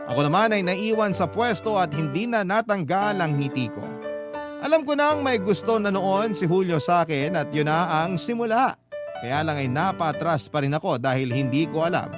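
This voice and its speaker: A Filipino man reads a radio story in the first person. His voice sits a little high for a man, and music plays clearly behind him. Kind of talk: scripted first-person story narration of a listener's letter.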